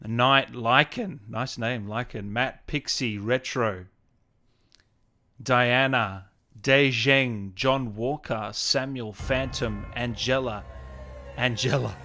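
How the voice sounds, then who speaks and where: A man's voice: mid-range voice, slow, pushed and bright. A man hosting a casual livestream interview, matey and encouraging with his guest and viewers.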